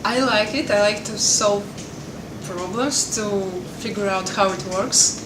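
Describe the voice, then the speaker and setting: A woman from Russia, speaking in a thoughtful, deliberate way. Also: medium pitch. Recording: echoey room, distant mic, background noise. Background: ambient noise.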